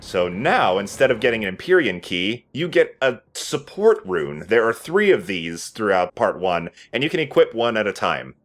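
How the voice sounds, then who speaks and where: A man's voice: fairly low pitch, sing-song, normal speed, hardly any pauses. A man giving casual commentary as he plays a video game and hosts for friends. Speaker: let's play host and player.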